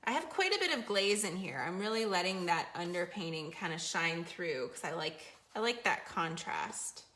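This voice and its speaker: A chatty, encouraging woman teaching a live painting lesson, her voice bright and forward. She is slightly hoarse from losing her voice and talks to viewers while she works at her easel. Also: lowish voice, animated, normal speed. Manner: chatty, encouraging, reads viewer comments aloud. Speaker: painting instructor. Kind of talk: live paint-along demonstration. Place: home art studio at an easel.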